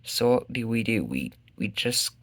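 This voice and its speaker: A man's voice: mid-range voice, very animated, unhurried, fairly bright tone, no room sound. Man voicing eager, worried adventuring kids. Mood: anxious.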